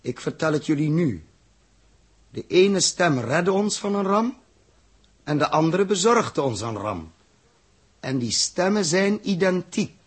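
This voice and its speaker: Casting: an intense male space captain for vintage radio sci-fi, very husky, fairly high voice with animated swings. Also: exaggerated voice. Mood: grave. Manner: intense, halting, questioning. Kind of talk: 1960s Dutch radio drama acting.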